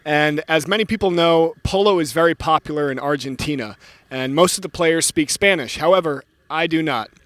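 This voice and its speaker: A man's voice: on the high side, normal speed, a bit bright, no room sound. A male host of a polo show, good-natured and casual, eagerly repeating phrases as a learner. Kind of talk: casual interview segment.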